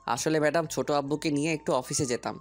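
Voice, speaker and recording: A man's voice: fairly high pitch, fast talker, lightly acted. A man playing a dutiful young servant and driver in an audio story, polite and deferential, also narrating in first person. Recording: music bed under voice.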